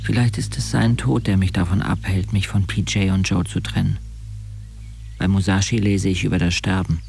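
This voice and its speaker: A man's voice: sits low, level tone, moderate pace, creaky. An actor playing an intense, brooding young man who narrates his inner life tersely in a radio drama. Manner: terse, introspective, literary. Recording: loud music under the voice.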